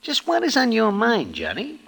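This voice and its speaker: Animated New York street-smart storyteller. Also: male voice, high-pitched, normal speed, vocal fry, husky, full character voice. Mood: puzzled, reproachful. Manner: formal slangy present-tense speech without contractions. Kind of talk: 1950s radio acting, scripted narration. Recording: old radio recording with music behind.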